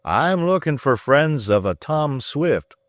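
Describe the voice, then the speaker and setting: A man voicing a plainspoken middle-aged country farmer with a slow folksy drawl. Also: on the high side, very animated, dry recording, clean studio sound, pitched above the performer's own voice. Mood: earnest.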